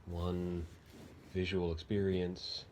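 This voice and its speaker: A man leading an unscripted guided meditation in a gentle, halting, unhurried manner with long pauses. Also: low-pitched, completely flat delivery, slight background noise.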